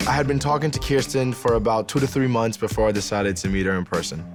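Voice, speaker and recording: A man's voice: medium pitch, steady tone, fast talker. A man in his twenties from the Dominican Republic and New York, telling his own story casually and informally, with plenty of filler phrases. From born in the Dominican Republic, in New York from age eight. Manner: informal and slangy, with frequent "like" and "you know". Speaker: reality show participant, school safety officer and club DJ. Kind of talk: casual personal storytelling to camera. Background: background music.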